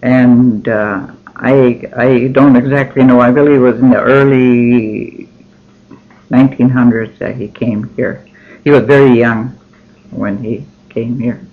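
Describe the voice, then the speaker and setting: Older guy, finnish first language, talks real slow w lots of uhs, muffled old tape sound. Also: medium pitch, steady tone. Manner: slow, halting, many ums and restarts. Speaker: interviewee. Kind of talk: oral history interview. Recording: dull lo-fi recording.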